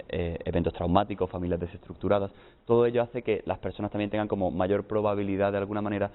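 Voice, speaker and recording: Fast-talking Spanish man, tinny audio. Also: fairly low pitch. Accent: Spanish (Spain). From Spain. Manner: explanatory, lists points with frequent "¿no?". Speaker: guest psychologist. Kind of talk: TV panel discussion.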